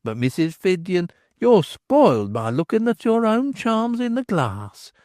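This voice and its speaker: A man voicing a sly male innkeeper who flatters and teases in a smooth-talking way. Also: high voice, unhurried, no room sound, clean studio sound, voice pushed up. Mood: flattering.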